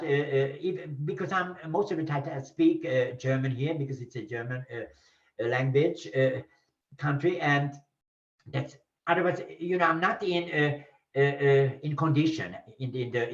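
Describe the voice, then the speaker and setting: A Hungarian-accented man speaks in a slightly high voice, trailing on in halting, repeated phrases as he recalls and explains. He sounds far from the mic in a roomy space, and the recording is dull and lo-fi. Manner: rambling, halting, frequent repeats and restarts, laughing. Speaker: guest, ballet teacher. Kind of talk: casual interview talk.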